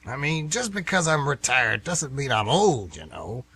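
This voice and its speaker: Male actor as folksy retired grumbler, husky voice with lively swings, rambling and old-fashioned, radio play. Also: mid-range voice, normal speed, heavily acted. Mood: grumbling, chatty. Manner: rambling, folksy, plain-spoken. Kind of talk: radio drama acting.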